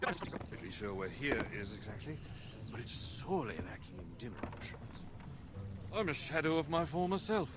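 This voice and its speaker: A man's voice: mid-range voice, big pitch swings, a little fast, natural voice. A man playing a witty, roguish pirate captain in a video game, with sly, flowery, theatrical phrasing. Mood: wry, musing. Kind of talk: video game character acting. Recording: game music behind the voice.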